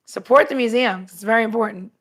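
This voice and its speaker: A woman's voice: a little high, fairly bright tone. Chatty woman podcast co-host. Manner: chatty, quick-talking. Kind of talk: casual podcast chat.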